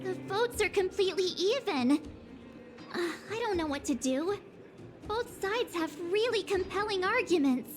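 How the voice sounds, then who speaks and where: A woman voicing an earnest, upbeat young girl adventurer in a video game, with an expressive delivery. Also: very high voice, normal speed, over music. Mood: tired, unsure.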